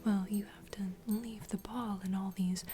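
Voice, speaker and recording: A woman's voice: medium pitch, steady tone, moderate pace, lightly acted. Woman playing a whispering, secretive female vampire bartender. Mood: gossipy, wistful. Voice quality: soft, breathy whisper. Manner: intimate, confiding, gentle. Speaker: ASMR roleplay performer. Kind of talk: whispered ASMR fantasy roleplay.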